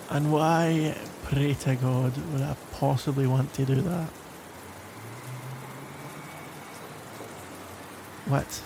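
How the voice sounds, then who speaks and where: A man's voice: mid-range voice, creaky, darkish tone, slight background noise. A man playing a grudgingly caring, sarcastic young Glaswegian in an exasperated, teasing ASMR roleplay monologue. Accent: Glaswegian Scottish.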